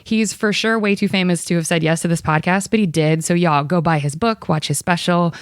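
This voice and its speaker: A woman hosting a podcast in a playful, teasing way, hyping up her guest. Her voice sits in a middle pitch and is recorded close and dry, with no room sound. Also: fairly even intonation, fairly quick, clean tone, no room sound. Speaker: podcast host. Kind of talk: reading a guest introduction, then casual chat.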